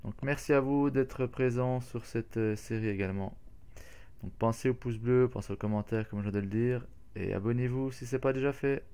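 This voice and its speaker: A man's voice: medium pitch, normal speed, mellow, clean tone. A man hosting a casual game walkthrough, talking hesitantly with many fillers and restarts. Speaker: gaming channel host.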